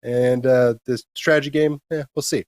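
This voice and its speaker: A man's voice: medium pitch, fairly even intonation, moderate pace, darkish tone, no room sound. Guy doing casual news commentary, explains stuff and has opinions, very dry sound. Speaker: co-host of a gaming news show.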